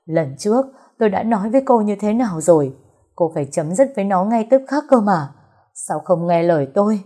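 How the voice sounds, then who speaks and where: A woman's voice: lowish voice, lively intonation, a little slow, darkish tone, husky. A woman voicing a furious, wounded middle-aged mother who scolds and accuses in an audio story. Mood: furious rejection.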